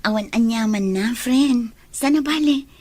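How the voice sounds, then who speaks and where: A woman with a Filipino accent playing a kind, hospitable young working woman in an Ilocano audio drama, gentle and conversational. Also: on the high side, a little slow, no echo. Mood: friendly, coy.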